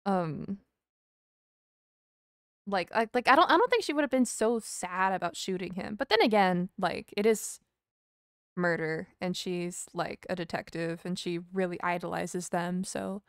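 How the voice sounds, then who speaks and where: A woman's voice: lowish voice, unhurried, smooth, no echo. Female game streamer, loose hesitant chatter, casual swearing, dry close sound. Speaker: live streamer. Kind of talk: casual chat, game playthrough commentary. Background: game music.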